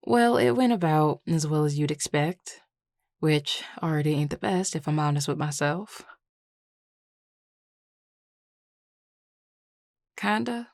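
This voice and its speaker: Flirty Southern US werewolf played by a woman, low-pitched, drawling and affectionate, close dry sound, intimate audio roleplay. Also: animated, normal speed, darkish tone, dry recording. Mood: confiding, rueful. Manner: drawling, teasing, sighing, casual and affectionate. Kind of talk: intimate audio roleplay, one-sided conversation.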